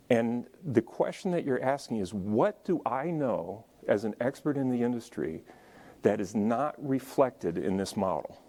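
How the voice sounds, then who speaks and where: Deep-voiced man giving a conference talk. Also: mellow. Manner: conversational, joking asides to audience; moves around while speaking. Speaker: conference speaker. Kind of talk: conference lecture. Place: lecture room at a symposium.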